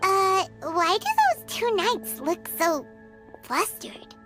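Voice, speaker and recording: Squeaky lil game fairy girl, super high, bubbly n chatty, bouncy pitch. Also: animated, slow, over loud music, heavily acted. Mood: puzzled. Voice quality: squeaky, childlike. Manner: bubbly, exclaiming, curious. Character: small excitable chatty fairy girl companion. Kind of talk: video game voice acting.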